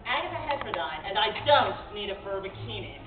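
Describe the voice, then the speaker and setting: A woman playing a brisk, self-assured young female inventor heroine in a comic radio play, often exasperated. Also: medium pitch, big pitch swings, far from the mic, close to an everyday voice. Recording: echoey, tinny live stage recording, some noise.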